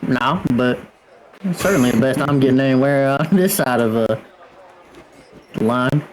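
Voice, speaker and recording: A man's voice: mid-range voice, moderate pace, slight background noise, rough recording. A man playing a tabletop game, bantering casually in character.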